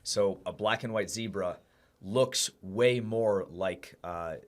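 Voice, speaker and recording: A man's voice: lowish voice, slow. A man co-hosting a podcast, explaining things casually and hedging as he goes. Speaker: podcast co-host. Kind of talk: casual chat.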